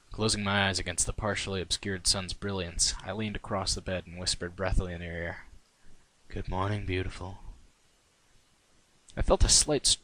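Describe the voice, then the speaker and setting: A man's voice: low voice, sharp tone, low-quality recording. A man reading his own first-person fan fiction in a wry, conversational way. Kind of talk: first-person story narration.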